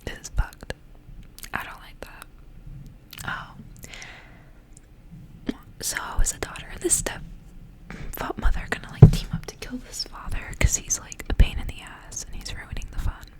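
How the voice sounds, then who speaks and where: Softly whispering woman, ASMR style. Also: moderate pace, pauses often, low-quality recording. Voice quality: breathy unvoiced whisper. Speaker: ASMR creator reading stories aloud. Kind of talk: whispered ASMR storybook reading with casual asides.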